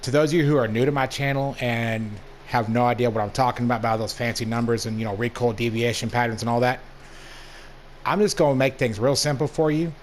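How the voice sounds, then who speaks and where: A young man with a hoarse voice from allergies, casually talking through a game review as the channel's host. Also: medium pitch, steady tone, fast, a little hiss or hum. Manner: laid-back, plain-spoken, rambling asides. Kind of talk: casual gameplay commentary.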